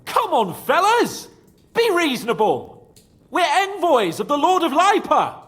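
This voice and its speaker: An actor playing a cocky young nobleman in a video game, lively and quick to take offence. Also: very high voice, heavily acted. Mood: shouting. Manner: lively, indignant, shouts when riled.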